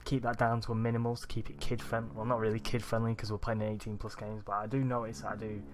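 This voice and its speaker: A young man with a Manchester accent, rambling excitedly over a video game, his morning voice groggy and deep. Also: fast. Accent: Manchester English. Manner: rambling, excitable, swears often. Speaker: let's play gamer. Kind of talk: casual gameplay commentary.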